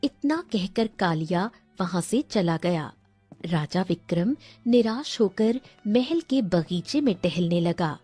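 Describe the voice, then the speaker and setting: A woman narrating a scripted animated moral story as its storyteller. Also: on the low side, slow pace, husky, dry recording, soft background music.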